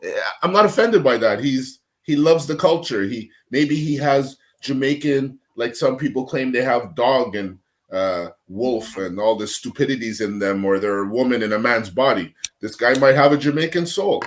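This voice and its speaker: Man, mid pitch, blunt, swears, anecdotal, casual panel talk. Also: moderate pace, few pauses. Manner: blunt, profane, storytelling. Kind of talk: casual debate chat.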